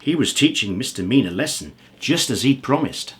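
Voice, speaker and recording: A man reading a children's story aloud as an expressive storyteller. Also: mid-range voice, moderate pace. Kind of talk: animated children's story narration.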